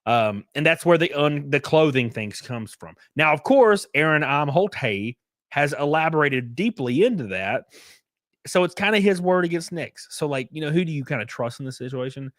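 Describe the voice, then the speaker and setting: Sniffly guy rambling on stream, kinda high voice, lots of ums. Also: no room sound, studio quality. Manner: rambling, lots of fillers and restarts. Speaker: commentary streamer. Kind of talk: casual commentary reading chat.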